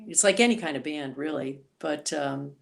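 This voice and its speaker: A woman singer-songwriter being interviewed on a podcast, talking in an animated, chatty way. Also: sits low, moderate pace, creaky. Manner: animated, chatty storyteller, voices quoted reactions.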